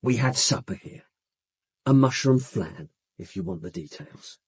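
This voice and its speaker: A supercilious British man in a radio murder mystery. He is cool and sardonic, with clipped, disdainful phrasing. His medium-pitched voice has a husky grain and sounds dry and close. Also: lively intonation, a little slow, darkish tone, no echo, exaggerated voice. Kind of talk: British radio drama acting.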